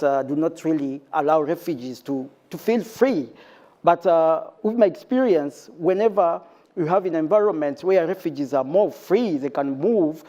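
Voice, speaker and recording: A man's voice: fairly high pitch, warm tone. A man living in Uganda speaking earnestly on a conference panel as director of a refugee organization, with many fillers. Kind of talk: panel discussion. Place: conference stage.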